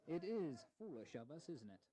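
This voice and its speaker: An actor playing an urbane, intellectual American diplomat in a historical audio drama, articulate, measured and gently teasing. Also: male voice, on the high side, big pitch swings.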